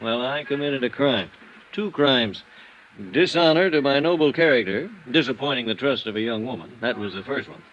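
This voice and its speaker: An actor playing a rumpled, boozy architect who rambles with grand, ironic self-deprecation in a 1950s radio drama. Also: male voice, medium pitch, animated, moderate pace, a bit bright, natural voice. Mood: mock confessional. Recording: dull, faintly noisy vintage radio recording.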